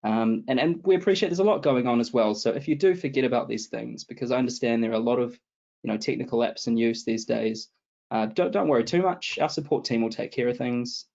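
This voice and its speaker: New Zealand man, 30s, warm low-key explainer, dull laptop-mic sound. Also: a little fast, vocal fry. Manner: steady, explanatory, starts answers with "absolutely". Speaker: support consultant, guest. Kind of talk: webinar explanation. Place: home room with bookshelf, indoors. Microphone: video call, laptop mic. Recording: dull lo-fi video call audio.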